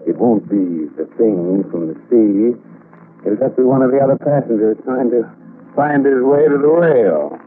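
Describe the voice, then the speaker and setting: A man's voice: mid-range voice, expressive, moderate pace, phone-quality sound. A man hosting a 1940s radio mystery show in a teasing, mock-sinister, chuckling manner. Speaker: horror show host. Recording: thin, noisy vintage radio recording.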